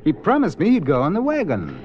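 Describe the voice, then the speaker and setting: An actor playing a kind-hearted, reasonable film-maker in a 1950s radio drama. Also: male voice, a little high, huge pitch swings, a little fast. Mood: gentle. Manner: reasonable, placating. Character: kind-hearted, composed male film-maker, loyal and reassuring. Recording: muffled, noisy 1950s radio recording.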